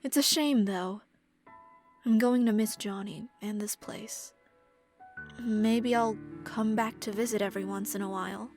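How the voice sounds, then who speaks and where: Woman doing a gentle widowed mom caregiver in a game dub, kinda resigned n reflective, faint music behind. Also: mid-range voice, measured pace, natural voice. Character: widowed middle-aged mother and live-in caregiver, gentle, resigned.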